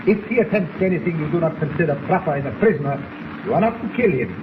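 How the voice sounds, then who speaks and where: A man's voice: on the high side, animated, fast, natural voice. Through heavy noise on a muffled 1940s radio recording comes a male actor as an arrogant villainous doctor. He gives orders precisely and gloats with cold menace. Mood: commanding. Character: arrogant, menacing foreign agent doctor who stole a submarine.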